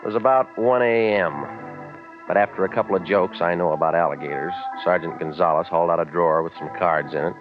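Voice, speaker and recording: An actor in 1940s radio drama playing a cynical, wisecracking private eye, terse and deadpan in narration and dialogue. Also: male voice, deep voice, fast, natural voice. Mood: wry, narrating. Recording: muffled vintage 1940s radio with music.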